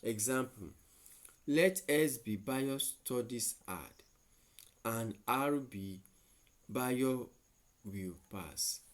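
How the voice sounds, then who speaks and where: A man teaching a lesson to students, deliberate and repeating statements for emphasis. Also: mid-range voice. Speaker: mathematics teacher.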